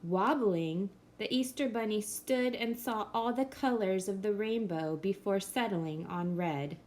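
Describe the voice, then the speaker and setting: A woman reading a children's picture book aloud in a gentle storybook manner. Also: mid-range voice, unhurried.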